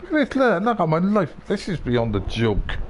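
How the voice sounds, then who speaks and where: A man's voice: high voice, huge pitch swings, moderate pace, slight background noise. A man live-streaming a video game with casual commentary, grumbling, swearing and repeating himself.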